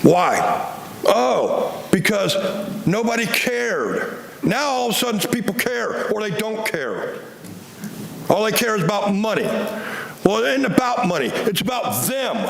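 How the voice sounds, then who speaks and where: A man with a big, loud voice scolds a city commission from the public podium, exasperated and lecturing. His tone is gravelly and a little high. The room sounds echoey, with faint noise behind him. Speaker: public commenter. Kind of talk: public comment at a city meeting. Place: council chamber. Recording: roomy chamber with faint noise.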